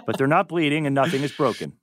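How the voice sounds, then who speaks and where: A man's voice: a little high, fairly quick, fairly bright tone, no echo, clean studio sound. Man reading screenplay stage directions. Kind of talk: scripted narration at a table read.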